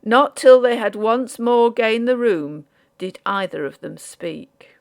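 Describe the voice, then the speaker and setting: Female audiobook narrator for Victorian ghost story; unhurried, measured, with pronounced vocal fry. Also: on the high side, animated.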